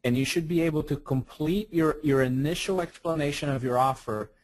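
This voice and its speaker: A man co-hosting a webinar, adding a clarification at a measured pace. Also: a little high. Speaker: webinar co-host. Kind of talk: recorded webinar teaching.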